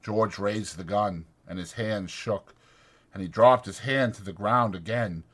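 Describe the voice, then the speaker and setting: A man narrating an audiobook dramatically, in a measured and deliberate way. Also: lowish voice, fairly bright tone.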